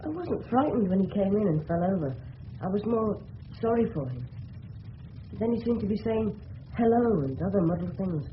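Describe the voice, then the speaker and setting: Polite English schoolboy, hissy radio recording. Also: male voice, high voice, sing-song, normal speed. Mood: calm, caring. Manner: earnest, respectful, well-spoken. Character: polite, level-headed schoolboy, brave and observant. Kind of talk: 1970s radio play acting. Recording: muffled, hissy old radio broadcast.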